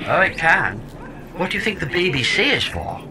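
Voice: mid-range voice, sing-song, moderate pace, noisy background. An actor voicing a sardonic, talkative companion who lives in someone's head, theatrical and mock-grand, in an audio comedy drama. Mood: wry explaining.

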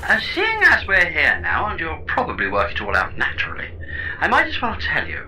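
A man's voice: on the high side, huge pitch swings, fairly quick, pushed and bright, a little hiss or hum, exaggerated voice, poor audio. A man playing a chatty, smug robot pod sidekick in a comedy audio drama, explaining things with wry, wordy theatrical flourishes.

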